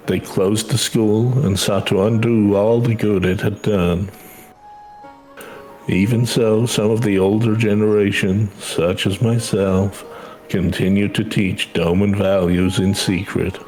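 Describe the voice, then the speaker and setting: Man voicing a low-pitched, measured older schoolteacher. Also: fairly even intonation, mellow, natural voice, poor audio. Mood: solemn, proud. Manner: measured, formal storytelling delivery. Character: earnest older schoolteacher devoted to tradition. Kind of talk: reading video game dialogue aloud. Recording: music under the voice.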